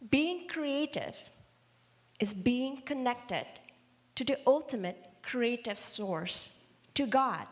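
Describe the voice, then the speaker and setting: A woman who grew up in Indonesia, preaching a sermon with measured, playful storytelling. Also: on the high side, expressive. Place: large church hall. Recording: reverberant church hall livestream.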